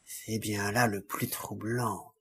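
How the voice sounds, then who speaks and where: A man acting a suave, courteous and evasive count in an audio drama, speaking French in a formal, measured way. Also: on the low side, level tone, a bit bright, husky, exaggerated voice. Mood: coolly regretful.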